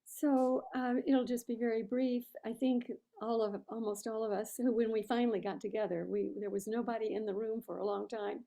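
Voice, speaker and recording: Woman sharing thoughts in a group, very mellow voice, bit high, some gravel in it. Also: flat delivery, moderate pace, few pauses, poor audio. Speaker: workshop participant sharing feedback. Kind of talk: casual spoken reflection to a group.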